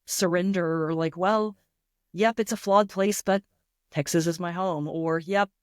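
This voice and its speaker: A woman's voice: on the low side, steady tone, a little slow, no echo, studio quality. A woman speaking as a guest writer in an interview, reflective and enthusiastic as she explains ideas through imagined examples. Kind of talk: thoughtful interview talk.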